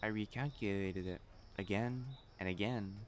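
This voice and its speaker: A man's voice: on the low side, normal speed, a little hiss or hum, lightly acted. Man playing a prim, devoted fiancé, crickets faintly behind. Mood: tender, confessional. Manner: formal, stammering when flustered, earnest. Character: flustered, devoted, prim young male matchmaker fiancé. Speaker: voice actor in romantic audio roleplay. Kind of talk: intimate scripted romance roleplay acting.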